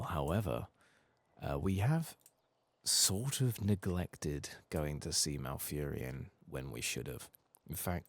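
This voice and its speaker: Male ASMR gaming streamer, soft-spoken and husky, lively intonation, dryly sweary. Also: a bass voice. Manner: dry, sweary asides, reads quest text aloud.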